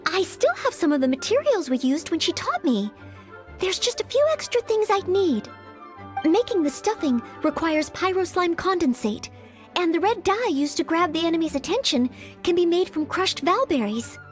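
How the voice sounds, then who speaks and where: A woman's voice: very high-pitched, very animated, moderate pace, faint music behind. A woman voicing an earnest, polite, self-doubting young maid who hopes to be a knight in an anime-style video game, speaking with careful diction. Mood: helpful, thoughtful.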